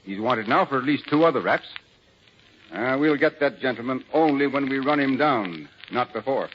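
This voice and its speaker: A man's voice: medium pitch, expressive, moderate pace, close to an everyday voice. An actor playing an assured middle-aged lawman in 1940s radio drama, explaining things with wry confidence. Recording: muffled, noisy 1940s radio broadcast recording.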